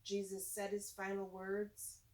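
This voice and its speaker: A woman reading a rhyming children's storybook aloud, with deliberate, teacher-like delivery and simple asides to young listeners. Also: mid-range voice, completely flat delivery, a little mellow, far from the mic, rough recording.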